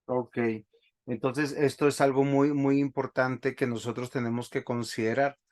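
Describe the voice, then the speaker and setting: Man, pastor, bible class on a video call, slow halting talk, medium pitch. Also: little pitch movement, darkish tone. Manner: slow, halting, asks the class questions often. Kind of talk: online Bible class teaching.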